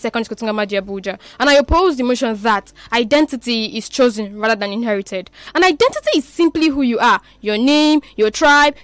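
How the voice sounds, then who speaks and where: A woman's voice: a little high, no room sound. A young woman with a Nigerian accent gives an emphatic rehearsed debate speech as a student, stumbling and repeating phrases. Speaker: student debater, chief speaker for opposition.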